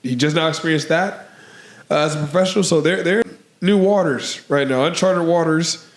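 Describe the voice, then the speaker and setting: A man co-hosting a podcast, slightly hoarse from allergies, rambling through casual sports talk with lots of "man" and "bro". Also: a little high, moderate pace, roomy sound. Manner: rambling, filler-heavy, says "man" and "bro". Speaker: podcast co-host.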